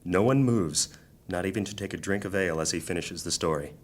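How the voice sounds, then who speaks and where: A man narrating a fantasy audio drama as a solemn storyteller, measured, grave and formal. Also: low voice. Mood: hushed, grave.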